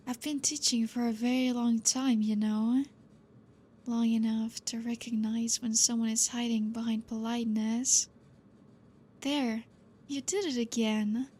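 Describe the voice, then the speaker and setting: A woman playing a gentle, teasing, possessive vampire teacher in an intimate ASMR roleplay, speaking softly and breathily in a coaxing way. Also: fairly high pitch. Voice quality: soft, breathy.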